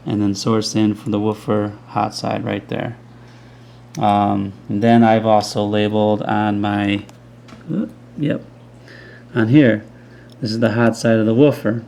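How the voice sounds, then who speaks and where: Low, gravelly man explaining a build. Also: little pitch movement, measured pace, pauses often, darkish tone. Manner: rambling, many ums and restarts. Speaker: hobbyist showing a speaker crossover build. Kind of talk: casual off-the-cuff tutorial. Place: indoor workbench. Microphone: camera mic, behind the camera.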